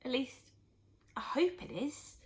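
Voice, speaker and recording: A woman's voice: high-pitched, lively intonation, slow, darkish tone, pitched above the performer's own voice. A woman voicing an earnest, helpful young boy in a storybook, eager and matter-of-fact. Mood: hopeful.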